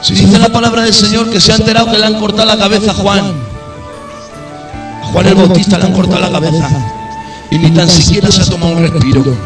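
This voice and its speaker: A man preaching a sermon, passionate, dropping to quiet low tones and then rising to shouted peaks. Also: steady tone, fast, far from the mic, over music. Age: adult. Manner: impassioned, swings between low intimate tones and high shouted peaks.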